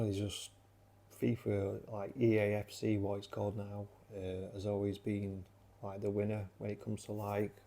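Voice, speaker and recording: Rambling South Yorkshire man, low mellow voice. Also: gravelly. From Sheffield, South Yorkshire. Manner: rambling with many fillers and restarts. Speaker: channel creator. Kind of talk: casual rambling chat.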